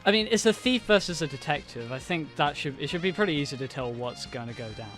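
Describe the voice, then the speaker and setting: A man's voice: fairly high pitch, animated, quick pace, a bit bright, faint music behind. A man co-hosting a casual podcast, talking with enthusiasm and rambling a little. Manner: enthusiastic, rambling with fillers and laughs. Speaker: podcast co-host. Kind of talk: casual chat.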